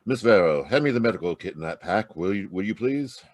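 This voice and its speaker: A steady, heroic Mountie sergeant from an old radio adventure, acted by a man. His low voice moves with lots of expression, commanding and reassuring, in a dry close recording. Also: brisk, dry recording. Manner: authoritative, reassuring. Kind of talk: old-time radio drama acting. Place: remote online performance from a close mic.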